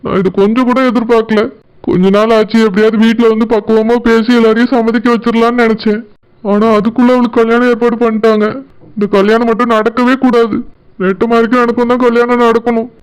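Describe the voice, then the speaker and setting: A man's voice: very high-pitched, flat delivery, fast, smooth, soft background music, poor audio. An actor voicing a desperate, lovesick young village man in a cartoon, speaking earnestly. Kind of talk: Tamil cartoon voice acting.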